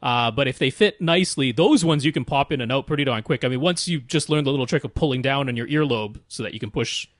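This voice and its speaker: A man's voice: mid-range voice, quick pace, few pauses, dry recording. A man with a mid-range voice talks as a podcast co-host. He explains things step by step in a casual, matter-of-fact manner, recorded dry with no room sound.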